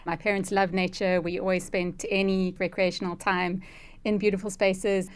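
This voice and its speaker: A woman's voice: mid-range voice, flat delivery, normal speed, clean tone. A South African woman giving thoughtful, earnest answers as an interview guest. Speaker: interview guest, conservation ecologist.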